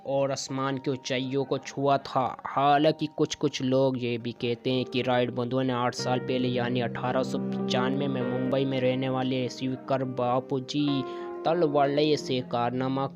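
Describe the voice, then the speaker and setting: A man's voice: mid-range voice, flat delivery, unhurried. A man reads a scripted explainer narration in short phrases, stumbling now and then. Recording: dull lo-fi voice track. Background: music with guitar.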